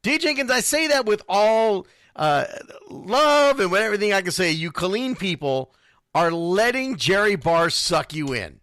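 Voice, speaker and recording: Animated male radio host, lively pitch. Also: moderate pace, no room sound, clean studio sound. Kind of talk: casual live sports radio talk with read sponsor spots.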